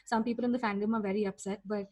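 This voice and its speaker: A woman podcast guest talking casually and emphatically about her opinions, with a husky voice. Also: medium pitch, steady tone, very fast, dark tone. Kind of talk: casual opinionated pop-culture chat.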